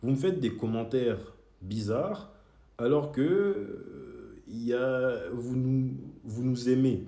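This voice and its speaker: A man's voice: mid-range voice, animated, takes it slowly, warm tone. A young man who grew up in Switzerland, speaking straight to his critics in a heated, argumentative monologue. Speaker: video creator. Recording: echoey room.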